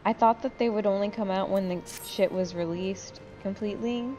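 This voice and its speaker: A woman's voice: medium pitch, moderate pace, a little mellow, a little hiss or hum. A very tired woman chatting casually with friends while hosting a gaming video, rambling and swearing informally. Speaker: gaming video host and player. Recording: dull lo-fi voice chat, faint music.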